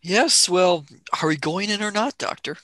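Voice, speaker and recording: Actor playing impatient young man, higher-pitched, bright, sardonic, questioning, radio drama, dry recording. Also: moderate pace, no echo. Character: young male space-travel companion, impatient, sardonic. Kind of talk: radio play acting.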